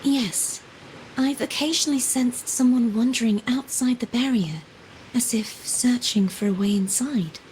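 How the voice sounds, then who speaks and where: A composed, enigmatic young woman from a video game, played by an actress. Her high voice is soft and measured in a solemn way, with faint ambient noise underneath. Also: lightly acted, poor audio.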